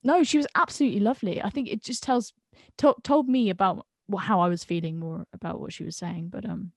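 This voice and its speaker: A woman from the UK, a guest friend on a video call, talking frankly and in an animated way, often stumbling over her words. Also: medium pitch, brisk, creaky, no echo, studio quality. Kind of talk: casual video-call chat.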